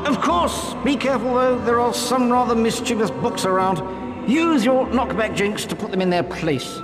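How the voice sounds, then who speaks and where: A man with a British accent voicing a cheerful, courtly ghost gentleman in a video game, genteel and old-fashioned. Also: extremely high pitch, moderate pace. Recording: reverberant game audio, loud music.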